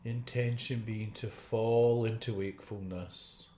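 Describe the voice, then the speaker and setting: Guy doing a guided meditation, really slow and gentle, lots of pauses, echoey room. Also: lowish voice, fairly even intonation, slight background noise. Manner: gentle, unhurried, with long pauses. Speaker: meditation teacher. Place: indoor room. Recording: roomy, echoey video call recording.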